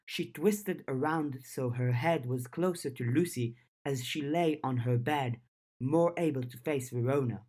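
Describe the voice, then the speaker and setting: A woman narrates fiction from a script in a low register. Her pace is slow, with each sentence of the story given plenty of time. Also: mellow. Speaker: audiobook narrator.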